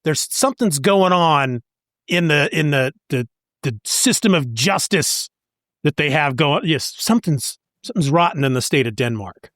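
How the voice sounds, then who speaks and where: A man co-hosting a podcast, discussing comic books casually with an expressive, wry delivery. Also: fairly high pitch, normal speed, no echo, studio quality. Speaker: podcast co-host.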